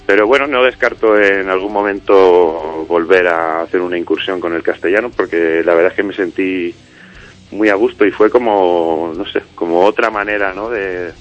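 Low-voiced man musician, easygoing radio guest who rambles and restarts, slightly muffled sound with faint music under it. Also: normal speed. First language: Spanish. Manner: rambling, many fillers and restarts. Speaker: musician guest, singer and songwriter of a band. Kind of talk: casual radio interview.

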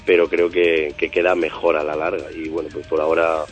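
A male singer answering interview questions in a relaxed, rambling way, thinking aloud with fillers. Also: extremely low pitch, brisk, lo-fi sound, soft background music. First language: Spanish. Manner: thoughtful, rambling with fillers and restarts. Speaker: singer of a band, interview guest.

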